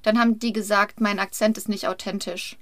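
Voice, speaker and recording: A woman's voice: mid-range voice, fairly quick, no room sound. A German woman, an actress, chatting casually on a podcast and acting out the dialogue in her stories. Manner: animated storyteller who acts out dialogue. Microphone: home podcast setup.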